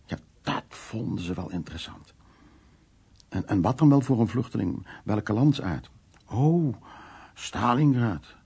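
An actor playing a guarded, teasing man in a radio drama, speaking intimately and a little haltingly with a husky, gravelly voice. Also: lowish voice, animated, a little mellow, exaggerated voice. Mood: tense recollection. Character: teasing, guarded man haunted by wartime flight. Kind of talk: naturalistic radio drama dialogue and recollection. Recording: radio play with faint music.

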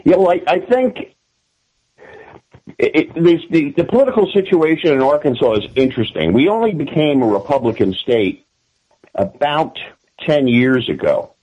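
A man from the United States giving political commentary as an interview guest, speaking haltingly with repeated words. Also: fairly high pitch, very animated, a little mellow, husky, poor audio.

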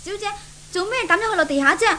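A frank, gossipy maid in a 1970s radio play. She speaks in a high voice with a Hong Kong Cantonese accent, grumbling like a long-suffering servant. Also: expressive, rapid-fire, sharp tone, a little hiss or hum, full character voice. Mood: meek, protesting. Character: outspoken, gossipy maidservant who grumbles about her mistress.

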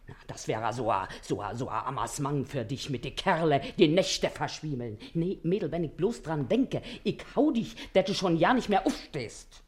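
Bossy naggy german mum in a radio play, very animated up and down. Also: female voice, sits low, moderate pace, few pauses, husky. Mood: scolding. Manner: nagging, blustery, colloquial. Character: bossy, scolding, shrewd working-class mother and wife.

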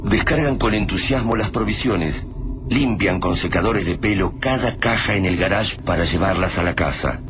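A man narrating a radio play in a grave, measured voice. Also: sits low, background noise, natural voice.

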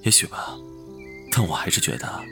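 An actor playing an affectionate, teasing young man in love with his boyfriend, in an intimate, breathy romantic audio drama style. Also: normal speed, fairly bright tone, natural voice. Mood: tender, earnest. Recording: music behind the voice.

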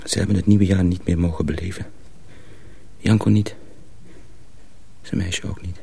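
An actor playing a cautious, secretive young miller's apprentice in a radio drama, husky and often hushed, explaining things deliberately. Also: male voice, sits low, fairly even intonation, full character voice. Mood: sombre storytelling. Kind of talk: Dutch radio drama acting.

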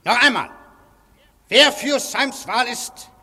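A man's voice: extremely high pitch, takes it slowly, sharp tone, husky, big-room sound, heavily acted. A stern, formal council chairman. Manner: formal, commanding, procedural. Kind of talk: radio play acting.

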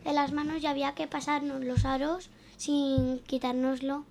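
A woman's voice: high-pitched, flat delivery, normal speed, vocal fry, a loud crowd. A schoolgirl guest on a radio show who gives short, hesitant answers. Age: child.

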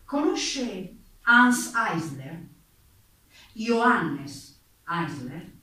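A woman playing a stern, insistent committee investigator in a staged docudrama, questioning in a formal, deliberate way. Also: medium pitch, very animated, pushed and bright, exaggerated voice. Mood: formal, probing. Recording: echoey room, distant mic, faint noise.

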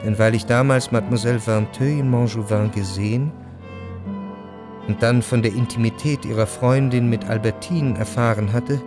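An actor as a measured, reflective narrator reading scripted literary prose in a radio drama. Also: male voice, mid-range voice, fairly even intonation, over music, natural voice.

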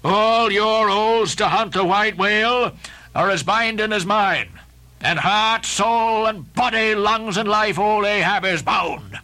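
A man's voice: high voice, slow pace, sharp tone, pitched above the performer's own voice, exaggerated voice. A man voicing an obsessed old sea captain who declaims in archaic, grandiose speech. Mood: commanding. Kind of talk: dramatic audiobook reading.

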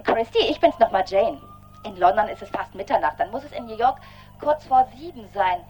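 An actress playing an impulsive, excitable woman leaving phone messages in a radio drama. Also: mid-range voice, a little fast, natural voice. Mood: breathlessly resolute. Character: impulsive, excitable woman confiding in her best friend.

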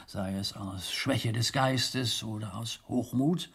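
An actor playing a stern, pious old medieval abbot in a German radio drama, speaking in a formal, rhetorical and measured way. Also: male voice, medium pitch, vocal fry, a bit bright, husky, full character voice. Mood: solemn, guarded.

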